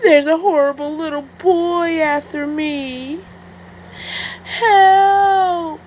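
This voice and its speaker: A woman's voice: very high-pitched, slight background noise, voice pushed up, heavily acted, low-quality recording. A woman voicing a young, mischievous male wolf in a children's storybook. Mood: alarmed yelling. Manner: loud drawn-out cries for help. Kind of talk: children's storybook read-aloud.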